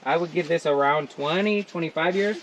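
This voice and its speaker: A man's voice: fairly high pitch, fairly quick, slight background noise. A man from Portland, Oregon, casually telling his story as a cacao farm owner with lots of fillers. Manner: relaxed, rambling, frequent fillers. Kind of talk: casual guided tour and life story. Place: outdoors on a farm.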